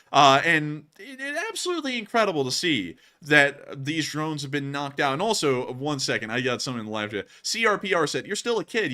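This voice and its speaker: A man's voice: on the high side, sharp tone. A man in his early twenties hosting live news commentary, talking casually to viewers in an emphatic, folksy way. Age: early 20s. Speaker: news channel host.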